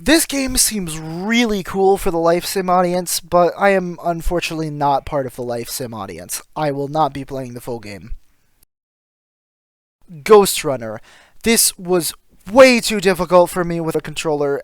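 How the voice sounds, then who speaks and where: A man's voice: on the high side, no echo, studio quality. A man reading scripted voice-over commentary as a video game reviewer.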